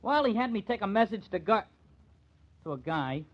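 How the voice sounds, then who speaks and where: A cocky little sports hanger-on with a high, swooping voice. He talks in slangy, defensive bluster in an old radio crime play, on a dull vintage recording. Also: sing-song, moderate pace. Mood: flustered, defensive. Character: eager, cocky male hanger-on, baseball team's number one fan. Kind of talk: old-time radio crime drama acting.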